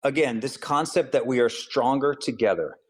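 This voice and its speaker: A man's voice: medium pitch, normal speed, slightly rough. Earnest man explaining his ideas. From Hawaii. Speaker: author and school counselor. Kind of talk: interview answers.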